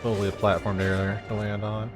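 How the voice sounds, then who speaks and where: Low-voiced man streaming a game. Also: little pitch movement, fairly quick. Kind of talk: casual live gameplay commentary. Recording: game music behind.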